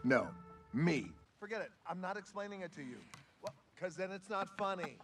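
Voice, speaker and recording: A brash demigod hero from a cartoon film, boastful and theatrical. His fairly high voice swings widely in pitch, and loud music plays behind him. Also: lively intonation, normal speed. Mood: teasing. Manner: cocky, exclamatory, theatrical. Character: boastful, brash, theatrical male demigod shapeshifter with magic hook. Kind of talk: animated film voice acting.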